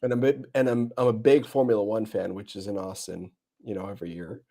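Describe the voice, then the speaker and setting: Mellow American man chatting casually. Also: on the low side, fairly even intonation, fairly quick, vocal fry, a little hiss or hum. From Nebraska, United States. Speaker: podcast host. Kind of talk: casual podcast chat.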